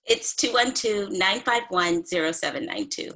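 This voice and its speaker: Bright, insecure young woman, acted by a woman. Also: mid-range voice, moderate pace. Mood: hopeful, awkward. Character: thirty-year-old woman, smitten but insecure one-night date. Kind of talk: comedic script table read.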